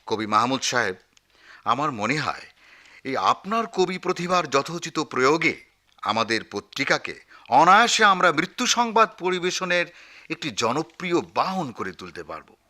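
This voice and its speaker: An actor playing an effusive, grandiloquent newspaper editor in a comic radio drama, speaking in florid, wheedling phrases. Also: male voice, a little high, sing-song, normal speed, bright, forceful tone. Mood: grandiose. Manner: pompous, florid, wheedling, with hesitant filler sounds.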